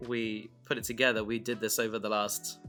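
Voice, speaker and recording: A man talks casually and reflectively in a bright, forward voice. He pieces thoughts together as he speaks, in a halting way. Soft guitar music plays faintly behind him. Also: fairly low pitch, level tone. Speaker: podcast creator and host.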